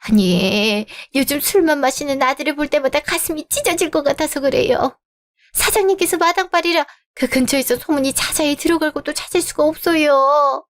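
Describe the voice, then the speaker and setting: A woman's voice: high voice, moderate pace, lightly acted. A woman voicing a scheming middle-aged mother-in-law who talks down haughtily and later begs, in a radio drama. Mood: pleading. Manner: haughty, later pleading and begging. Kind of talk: Korean radio drama acting.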